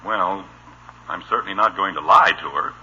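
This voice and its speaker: A man's voice: deep voice, moderate pace, dull audio, natural voice. An actor playing an exasperated, sardonic suburban father in a 1950s radio sitcom, dry and blustering. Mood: firm. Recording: noisy old radio recording.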